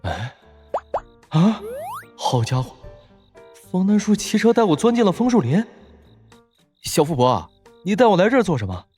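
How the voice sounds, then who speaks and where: A man's voice: high voice, sing-song, moderate pace, long pauses, a little mellow, faint music behind. A man playing a shrewd, teasing young male college student in an audio drama, playful and mock-suspicious.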